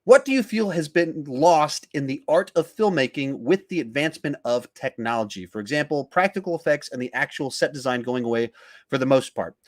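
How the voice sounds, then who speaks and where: A man with a medium-pitched voice hosts a casual podcast. He talks through his points conversationally, as if in an informal discussion about films. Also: expressive, brisk. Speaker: podcast host.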